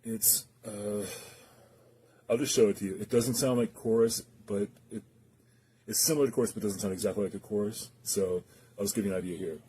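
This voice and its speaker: Low, very dark-voiced man reviewing gear. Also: fairly even intonation, brisk, a little hiss or hum. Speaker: guitar pedal reviewer. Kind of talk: casual unscripted product review and demonstration.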